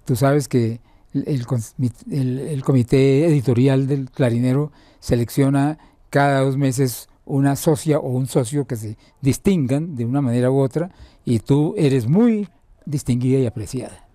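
An older man with a very dark, deep-toned voice asks questions in a friendly manner. He stalls often on fillers and restarts his sentences. The sound is dry, recorded from a camera set back in a bright indoor room. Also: moderate pace, dry recording. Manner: hesitant, lots of fillers, friendly. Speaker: interviewer for a birding club. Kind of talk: casual conversational interview.

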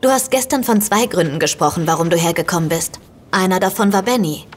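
A woman's voice: on the low side, fast, close to an everyday voice. An actress playing an outspoken, determined woman turned amateur sleuth in a naturalistic audio drama, speaking directly and probingly. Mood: teasing, familiar.

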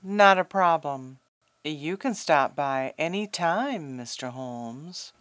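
A woman's voice: low voice, animated, slow, dry recording. Woman acting easygoing probation officer, dry studio sound. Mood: helpful, easygoing. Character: matter-of-fact female probation officer, helpful and easygoing. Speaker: radio drama actor. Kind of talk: radio drama acting.

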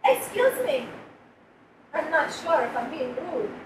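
A woman's voice: sits high, sing-song, slow, full character voice. A woman reading a story, voicing a blunt, anxious young refugee girl weighed down by guilt. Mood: anxious, guilty. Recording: roomy, distant mic, background noise.

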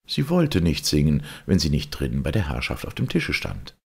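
A man reading a fairy tale aloud as an expressive audiobook storyteller. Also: a bass voice, normal speed, gravelly, breathy edge.

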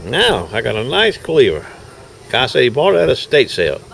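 A man's voice: medium pitch, huge pitch swings, normal speed, creaky, fairly bright tone. Older man, folksy vlogger, easygoing chatter, light outdoor noise. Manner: chatty, folksy, laughs often. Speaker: knife sharpener vlogger. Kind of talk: casual running commentary. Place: outdoor farmers market.

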